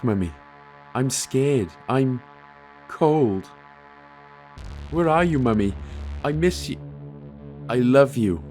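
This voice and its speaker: A man's voice: medium pitch, darkish tone, pitched above the performer's own voice. A man voicing a scared seven-year-old boy who is crying for his mother in a horror story. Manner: pleading, frightened, halting.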